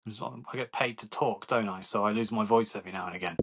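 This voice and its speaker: A man's voice: lowish voice, fast, fairly bright tone, a little hiss or hum. A man losing his voice, co-hosting a podcast and making dry, nostalgic remarks. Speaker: podcast co-host. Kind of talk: casual podcast chat.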